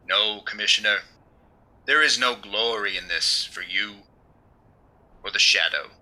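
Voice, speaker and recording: Man acting a mysterious avenger, very sharp forceful voice, slow deliberate pacing, commanding, ominous, solemn, old-time radio thriller. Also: on the low side, poor audio. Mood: grave. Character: commanding, ominous mysterious male crime-fighter. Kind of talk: 1930s radio drama acting.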